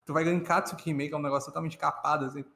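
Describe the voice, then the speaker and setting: Brazilian guy chatting opinions on stream, talks quick, echoey room with some noise. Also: fairly high pitch, flat delivery, vocal fry, sharp tone. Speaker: reaction stream co-host. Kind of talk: casual opinionated commentary.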